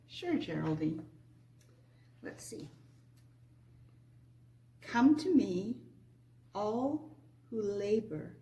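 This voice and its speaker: Slow-reading woman, distant and noisy recording. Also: medium pitch, darkish tone. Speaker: scripture reader. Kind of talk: informal scripture reading. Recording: distant mic with clear background noise.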